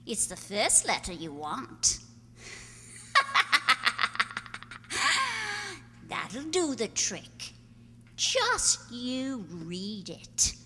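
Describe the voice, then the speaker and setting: Slow, sly, gloating schemer. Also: female voice, fairly high pitch, wildly swooping pitch, bright, forceful tone, full character voice. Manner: slow, drawling, sly and cackling. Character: shady, gloating woman selling secret letters. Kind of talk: stagey radio play acting. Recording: roomy hall echo with noise.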